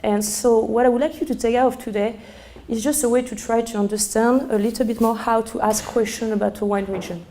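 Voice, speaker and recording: Hoarse French-accented woman teaching a wine seminar. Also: on the high side, fairly quick. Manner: chatty, many fillers, excitable. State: hoarse, losing her voice. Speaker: sommelier presenting a seminar. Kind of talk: live talk to a seminar audience. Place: seminar room.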